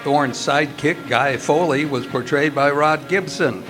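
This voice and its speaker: A man's voice: fairly high pitch, measured pace. A man announcing in a scripted, old-time radio style. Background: music.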